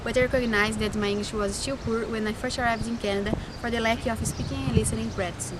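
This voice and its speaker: A fast-talking woman from Brazil reads out her prepared lines as an English student. Her voice sits on the high side, and thick background noise runs under every word. The delivery is rehearsed and quick. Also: steady tone. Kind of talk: scripted personal statement.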